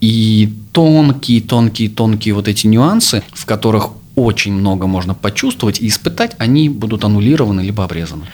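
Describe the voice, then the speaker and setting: A man in his thirties, a psychologist and sex therapist, explaining things in a measured, lecturing way on a podcast. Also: lowish voice, very animated, darkish tone. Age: 30s. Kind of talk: podcast discussion.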